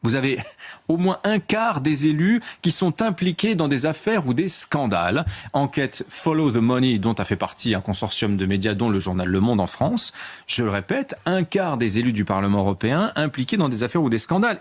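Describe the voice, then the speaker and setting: A man's voice: medium pitch, very animated, normal speed. A man commenting on TV news with emphasis, repeating key points for effect.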